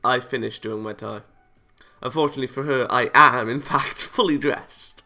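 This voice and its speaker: A male let's player narrates a visual novel in a bright, medium-pitched voice with a gravelly edge. He reads the text aloud and drops in casual comments. Faint music plays behind him. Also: normal speed. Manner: chuckles and comments between read lines. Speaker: video game let's player.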